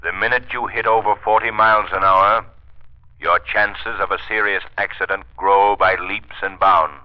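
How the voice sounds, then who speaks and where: An actor playing a mysterious masked avenger, giving ominous, deliberate warnings in 1930s radio acting. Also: male voice, on the low side, steady tone, natural voice. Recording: muffled 1930s radio recording.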